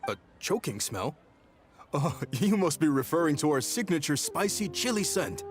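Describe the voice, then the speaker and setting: A man's voice: a little high, big pitch swings, moderate pace. A man voicing a proud, jovial chef in a video game, eager to please his customers. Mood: welcoming. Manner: jovial, hospitable. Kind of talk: video game character acting. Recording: music playing behind.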